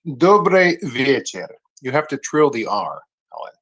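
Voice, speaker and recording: A man's voice: medium pitch, sing-song, measured pace, fairly bright tone, slightly rough. A man chatting on a live audio call, joking in a wry, mock-formal way. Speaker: speaker on a live audio space. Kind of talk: casual chat.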